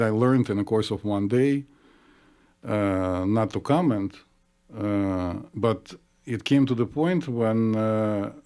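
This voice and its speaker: Russian-accented male doctor co-host, lowish mellow voice, thoughtful explainer. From Soviet Union. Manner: measured, explanatory, frequent uh fillers. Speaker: psychologist podcast co-host. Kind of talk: casual podcast discussion.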